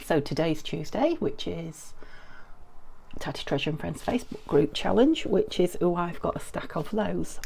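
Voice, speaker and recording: A middle-aged woman from the UK talks through a crafting video at home, her voice croaky and gravelly from a cold. She rambles in a friendly, easygoing way, full of ums and little restarts. Her tone sits low and mellow, with a faint hum of room noise behind her. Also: animated, moderate pace. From United Kingdom. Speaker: crafting vlogger. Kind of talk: casual chatty craft tutorial.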